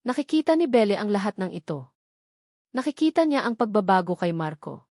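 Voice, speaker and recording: A woman narrates a sentimental drama in a scripted storytelling style. Her pitch sits in the middle range for a woman. The sound is dry and close, with no room around it. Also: very animated, brisk, darkish tone, dry recording.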